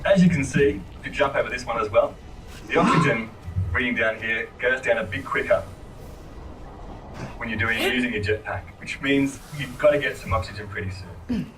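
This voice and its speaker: A man's voice: mid-range voice, normal speed, recorded from a distance, a little hiss or hum, low-quality recording. A man casually talking while playing a game demo. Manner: relaxed, chatty. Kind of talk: live gameplay commentary.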